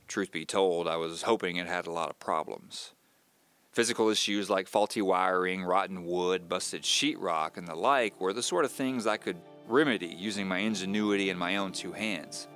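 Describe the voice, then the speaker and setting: A brooding, wry divorced man telling his story onto tape in a low voice. He is measured and confiding, in the manner of an audio drama monologue, with faint music underneath. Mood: rueful.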